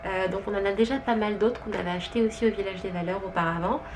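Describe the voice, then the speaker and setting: Young quebec mom vlogging at home, soft chatty voice, easygoing haul talk. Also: female voice, medium pitch, fairly even intonation, fast, music in the background. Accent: Quebec French. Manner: chatty, gentle, thinking aloud. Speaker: vlogger and stay-at-home mother. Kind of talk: casual haul vlog. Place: at home with her baby asleep nearby.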